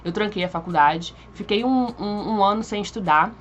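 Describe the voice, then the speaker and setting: A woman's voice: medium pitch, normal speed, highs cut off, a little hiss or hum. A young woman, telling a personal story to camera in a chatty, informal way. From Brazil. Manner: chatty, informal, frequent fillers and restarts. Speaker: vlogger.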